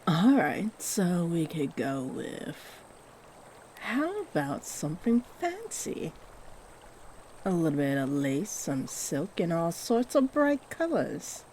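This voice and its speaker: A kindly, doting fairy played by a woman speaks to the listener in a fantasy audio roleplay. Her voice is mellow and unhurried, swooping expressively as she gently fusses and encourages. A faint wash of stream ambience sits behind her. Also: lowish voice, very animated, a little hiss or hum, heavily acted. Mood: thoughtful, fussing. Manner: gentle, encouraging, fussing, with sweet endearments. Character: kindly, doting fairy who gives magical makeovers.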